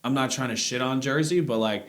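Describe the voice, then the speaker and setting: A man's voice: mid-range voice, brisk, fairly bright tone. Male panelist, New York accent, mid-range voice, slangy rambling sports banter. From Queens, New York. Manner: slangy, rambling, quotes imagined speakers. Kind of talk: casual sports chat.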